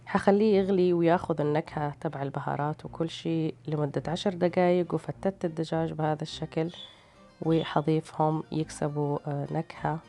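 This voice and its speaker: A woman's voice: low-pitched, fairly even intonation, brisk, mellow. A woman with a tired voice, narrating recipes as a cooking host in a casual, hesitant way. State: tired, strained voice. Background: background music.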